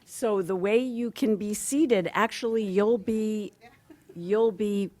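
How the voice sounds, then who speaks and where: A woman's voice: mid-range voice, slow pace, darkish tone. A woman moderating a local candidates forum, explaining procedures in a friendly but hesitant way, with ums and restarts, into a table microphone. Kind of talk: announcing procedures at a public forum. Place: public candidates forum in a meeting hall.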